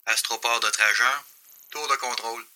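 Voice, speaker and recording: A man's voice: mid-range voice, steady tone, moderate pace, sharp tone. A man playing a formal spaceport control tower operator in an audio drama. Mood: businesslike. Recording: very noisy, clicking radio transmission.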